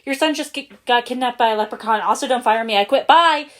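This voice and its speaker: A woman's voice: fairly high pitch, fast, fairly bright tone, pitched above the performer's own voice, close to an everyday voice. A woman voicing a nervous, cowardly male henchman general in a story, hesitant and blurting in panic. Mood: panicked blurting.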